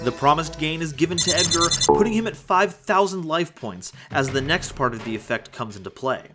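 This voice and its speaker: A man's voice: fairly high pitch, animated, fairly quick. A man giving dramatic scripted narration for an audio drama. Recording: music behind the voice.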